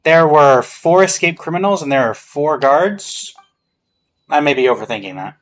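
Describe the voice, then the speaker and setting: A man's voice: fairly high pitch, lively intonation, normal speed, fairly bright tone. A man giving casual playthrough commentary, musing to himself and sometimes reading game text aloud. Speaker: gaming reaction streamer. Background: game music.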